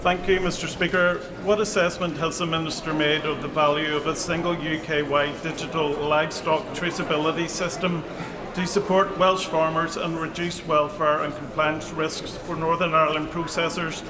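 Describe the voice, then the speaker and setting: A man's voice: fairly high pitch, little pitch movement, moderate pace. A man, a member of parliament, asking a formal question. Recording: echoey chamber with crowd murmur.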